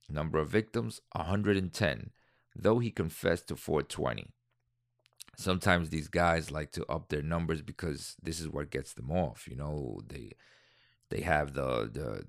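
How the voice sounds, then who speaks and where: Deep-voiced man reading and ranting. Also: a bit bright, no room sound, studio quality. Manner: indignant, rambling asides with restarts. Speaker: podcast host. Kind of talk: reading aloud and commenting, casual.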